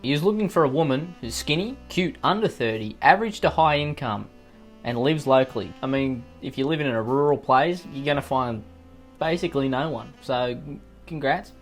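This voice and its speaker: A man's voice: mid-range voice, expressive, moderate pace, faint music behind. A man with an Australian accent reading out online posts and riffing on them with profane, sarcastic, rambling comedic asides. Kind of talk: comedic commentary on read-out posts.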